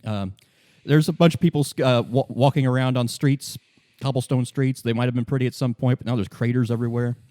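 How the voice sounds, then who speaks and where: A man's voice: mid-range voice, steady tone, mellow, no echo. Wry man narrating a game, dry close sound. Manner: halting, informal, wry. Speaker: game master. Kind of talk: casual improvised narration of a tabletop game.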